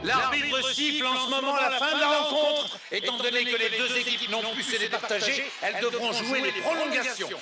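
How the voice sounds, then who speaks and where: A man playing an excitable, grandiose football commentator in a dubbed cartoon, with emphatic, theatrical delivery. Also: very high-pitched, moderate pace, long pauses. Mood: solemn. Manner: emphatic, theatrical, formal wording. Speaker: sports commentator. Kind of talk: dramatic football match commentary in a dubbed anime. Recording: echoey, distant, loud music underneath.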